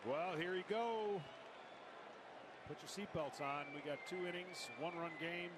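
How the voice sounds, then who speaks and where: A man's voice: high-pitched, a little slow. A man giving live baseball commentary between plays on a radio broadcast. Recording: noisy broadcast amid loud stadium crowd. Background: loud stadium crowd.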